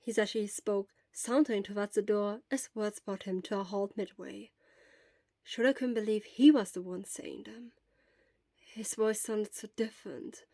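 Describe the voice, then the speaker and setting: A woman's voice: mid-range voice, dark tone, no echo, clean studio sound. A woman reading scripted fiction expressively as a story narrator.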